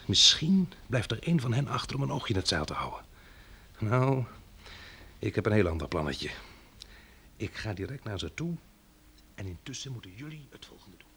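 An actor playing a shrewd farmer and husband in a Dutch radio drama, speaking in a measured, persuasive, slightly husky voice. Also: male voice, lowish voice, big pitch swings, pushed and bright, slight background noise, exaggerated voice. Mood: urgent, conspiratorial.

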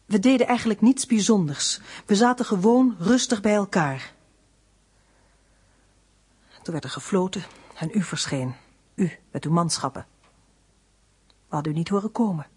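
A woman's voice: mid-range voice, normal speed, long pauses, darkish tone, slightly rough. An actress playing a defiant, guarded young woman under arrest in a radio play, speaking in a terse, controlled way. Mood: controlled, accusing. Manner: terse, controlled, plain recounting.

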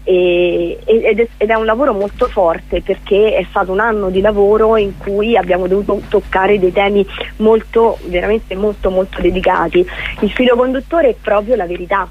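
A woman's voice: medium pitch, fairly even intonation, moderate pace. A woman being interviewed by phone for radio, explaining earnestly and emphatically, repeating words for stress. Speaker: interview guest, prison theatre project leader. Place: on a phone call to a radio show. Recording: narrow tinny phone line.

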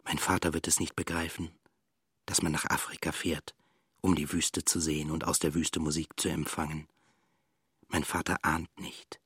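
Low, husky, reserved composer. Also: male voice, a bit bright, dry recording, studio quality, exaggerated voice. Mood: quietly defiant. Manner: restrained, reflective. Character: introspective, reserved young male composer, quietly bitter. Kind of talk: first-person radio drama monologue.

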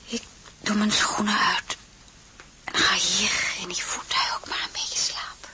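A woman's voice: medium pitch, flat delivery, unhurried, pushed and bright, whispered. A woman playing a caring, plain-spoken princess at court in an audio drama, candid yet formally courteous. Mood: weary, hushed. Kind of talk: Dutch audio drama acting.

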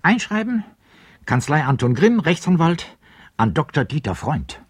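An actor playing an announcer who calls out letter addresses in short, clipped phrases with a husky voice in a 1970s German radio play. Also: male voice, a little high, sing-song, a little slow, dry recording, studio quality, full character voice. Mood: brisk, declarative.

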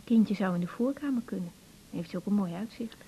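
A woman's voice: medium pitch, fairly quick, mellow, a little hiss or hum, natural voice. An actress playing an earnest, wounded young female doctor in a radio drama, emotionally open and introspective. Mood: troubled, confiding. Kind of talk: naturalistic radio drama acting, diary-like monologues. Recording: dull radio recording with music.

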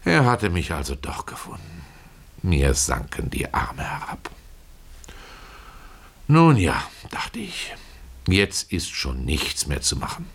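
A very low, bass male voice a poor, kindly Russian caretaker in a radio play. He tells his own story in a folksy, ruminative manner, pausing for rhetorical asides to his listener. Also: big pitch swings, vocal fry, a bit bright, breathy edge, exaggerated voice. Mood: bemused, resigned. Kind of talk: dramatic first-person literary storytelling, radio play.

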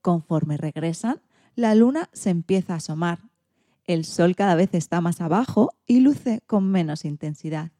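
A woman reading a children's storybook in an expressive, theatrical way. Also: on the low side, normal speed, no room sound, clean studio sound. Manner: theatrical, acts out sound effects and exclamations.

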